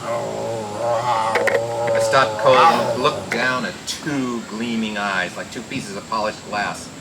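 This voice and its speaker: A man playing a world-weary, wisecracking private detective in radio noir style, reading a little haltingly. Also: lowish voice, steady tone, heavy background noise. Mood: brooding narration. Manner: halting read with stumbles and wry asides. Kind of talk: 1940s-style radio noir acting and narration.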